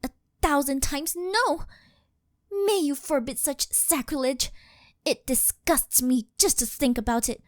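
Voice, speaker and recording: Very high-voiced, obsessively devoted doll maid. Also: female voice, unhurried, dry recording, clean studio sound. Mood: jealous, disgusted. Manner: reverent, formal, melodramatic, fawning. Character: devoted, possessive female living doll maid, worshipful and obsessive. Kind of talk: scripted ASMR roleplay, intimate monologue to the listener.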